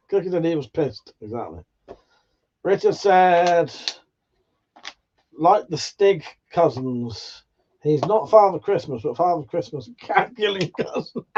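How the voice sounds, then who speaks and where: A man's voice: on the high side, darkish tone, dull audio, a little hiss or hum. A man from England, hosting a casual livestream, reading out chat comments in a sweary, jokey way with frequent laughs. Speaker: livestream host.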